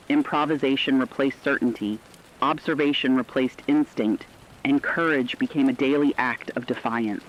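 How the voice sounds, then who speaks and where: Male storyteller for apocalypse drama: medium pitch, serious measured read, slightly lo-fi with faint background noise. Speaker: narrator.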